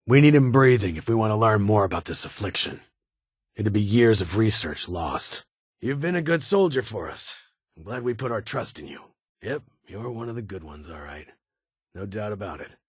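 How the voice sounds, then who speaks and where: A man playing a stern, guarded ghoul military officer in a video game, with a raspy voice and a clipped, formal delivery of dry authority. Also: fairly low pitch, no room sound. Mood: gruff, brisk, then sincere, approving. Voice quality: raspy, gravelly. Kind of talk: video game character dialogue.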